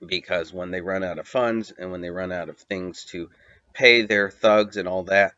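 A man narrating a video essay in an unscripted, halting way, with many fillers and long spoken lists. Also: sits low, fairly even intonation, sharp tone, dry recording, dull audio. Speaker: commentator.

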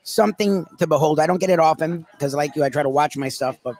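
A man's voice: fairly high pitch, quick pace, a little mellow. A middle-aged man co-hosting in casual conversation at a cafe table on a headset mic. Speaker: co-host. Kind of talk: casual chat. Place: busy cafe table, indoors.

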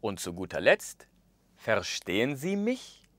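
Lively man presenting a language lesson. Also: fairly low pitch, takes it slowly, no echo. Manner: expressive, gesturing, acts out example phrases. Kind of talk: scripted lesson narration. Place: studio with orange backdrop.

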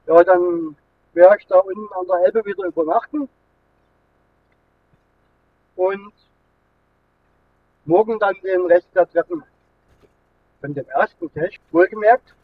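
High-pitched man, tinny car recording. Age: middle-aged. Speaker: vlogger. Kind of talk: casual vlog chat while driving. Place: inside a moving car with air conditioning running. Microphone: camera mounted in the car. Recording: thin phone-like sound, road noise.

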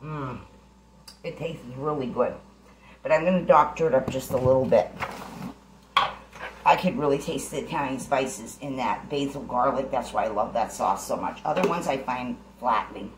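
A woman's voice: rumbling low, normal speed, noisy background. A middle-aged woman chatting her way through a recipe in her home kitchen, giving homey step-by-step instructions. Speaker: home cook, channel host. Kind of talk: casual cooking demonstration. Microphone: camera sitting on the counter. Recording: echoey kitchen, faint clatter of pots.

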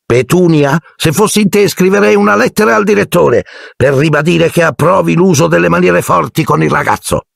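A man reading aloud and voicing a domineering middle-aged aunt in a shrill, barking falsetto. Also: a little fast, creaky, no room sound, studio quality. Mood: scolding.

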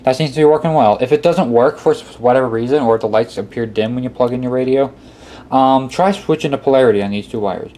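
A man's voice: mid-range voice, fast, warm tone. Man giving hands-on instructions, noisy recording. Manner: step-by-step, repeats himself. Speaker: tutorial presenter. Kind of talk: casual hands-on how-to instruction. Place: indoor workbench. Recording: clearly noisy amateur room recording. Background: clear background noise.

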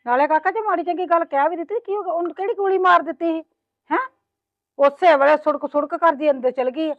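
Sarcastic mother-in-law played by a woman, high and fast, dry muffled recording. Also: dry recording. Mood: grumbling. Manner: complaining, sarcastic, nagging with repeated "hain?". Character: scolding, proud mother-in-law, middle-aged woman.

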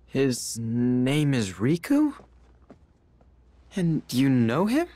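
A man's voice: mid-range voice, wildly swooping pitch, drawn-out words, mellow, no room sound, exaggerated voice. A man playing an earnest, brainy teenage boy hero in a video game, with an emotional manner. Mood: subdued.

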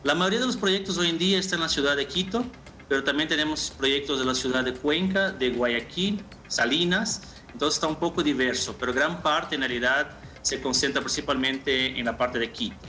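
A man in his thirties answering interview questions informally, a little haltingly and with repeated phrases, from a room over a video call. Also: on the high side, pushed and bright, soft background music. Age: 30s. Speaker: marketing manager, interview guest.

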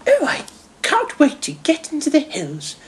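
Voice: sits high, huge pitch swings, unhurried, voice pushed up, heavily acted. A performer voicing a genteel, friendly elderly great-grandmother in a children's storybook reading, full of enthusiasm. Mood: delighted, friendly.

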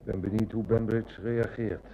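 An actor playing a shrewd, determined police inspector in a radio drama, matter-of-fact and commanding. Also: male voice, fairly low pitch, little pitch movement, normal speed, creaky, dull audio, loud music behind the voice. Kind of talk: Dutch radio drama acting. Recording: noisy old radio recording with hum.

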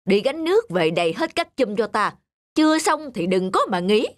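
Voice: mid-range voice, sing-song, normal speed, close to an everyday voice. A performer voicing a cruel, haughty, imperious master who scolds a servant in a fairy-tale cartoon. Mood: bossy, menacing.

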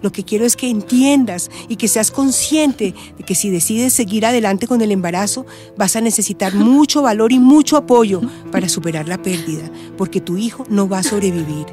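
An actress playing a calm, compassionate woman counselor in a radio drama. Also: medium pitch, moderate pace, hardly any pauses, faint music behind, lightly acted. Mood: gentle, serious.

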